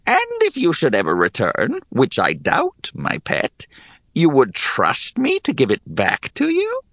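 A man voicing an ancient, sly hag in an audiobook fantasy tale, with a gravelly voice and a languid, mock-polite manner. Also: mid-range voice, wildly swooping pitch, a little slow, fairly bright tone, dry recording, clean studio sound, pitched above the performer's own voice, exaggerated voice. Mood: sly, condescending. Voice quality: creaky, gravelly. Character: ancient sly yawning hag, coolly dismissive. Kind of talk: audiobook character acting.

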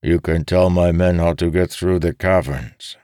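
A man's voice: sits low, steady tone, unhurried, dark tone, smooth, no echo, studio quality, voice pushed up. A man voicing a suspicious, ruthless king in an audiobook, terse and demanding. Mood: wary. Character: suspicious, commanding male king, greedy and ruthless. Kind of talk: dramatic audiobook character reading.

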